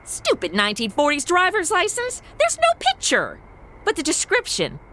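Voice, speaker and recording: Comedy audio drama: very high-voiced, sarcastic young female sleuth, flippant and excitable, lively intonation. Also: normal speed, exaggerated voice. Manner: snarky, flippant, exclamatory. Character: sarcastic, excitable modern young woman amateur sleuth, Madison.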